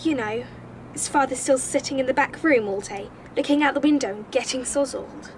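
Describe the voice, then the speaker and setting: High, prim English schoolgirl, acted by a woman. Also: brisk, slight background noise, natural voice. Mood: haughty curiosity. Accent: English, posh. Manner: prim, affected, precise. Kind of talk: radio drama acting.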